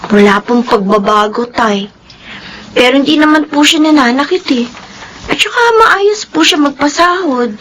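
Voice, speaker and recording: Young housemaid, high voice, apologetic, long-suffering, respectful, radio drama, faint background noise. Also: female voice, animated, moderate pace, fairly bright tone, poor audio. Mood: resigned, gentle.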